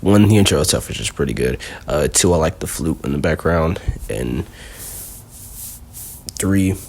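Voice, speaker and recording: A man talks through a casual countdown in a deep voice with a rough, husky edge. He rambles, loses his thread and keeps leaning on fillers. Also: animated, normal speed, a little mellow. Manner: rambling, heavy on fillers like "you know". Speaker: video host.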